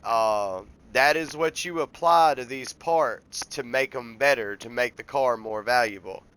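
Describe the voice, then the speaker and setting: Stuffy-nosed guy hosting a game walkthrough; bright, smooth voice, rambling and friendly. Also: mid-range voice, a little slow, low-quality recording. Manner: folksy, rambling, explaining step by step. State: congested from a cold. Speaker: gameplay tutorial host.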